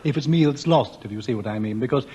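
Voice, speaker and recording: A man's voice: medium pitch, fast talker, dark tone, slightly rough, highs cut off. A man with a sore throat on a radio panel game, talking in a genial, self-mocking, gossipy way. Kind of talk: comic panel-game monologue. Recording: 1980s radio broadcast with studio audience.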